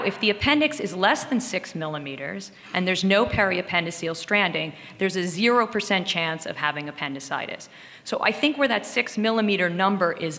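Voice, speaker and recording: Female medical lecturer, lowish pitch, explanatory delivery through case examples, heavily reverberant recording. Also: fairly bright tone. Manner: explanatory, talking through slides and cases. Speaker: radiologist lecturer.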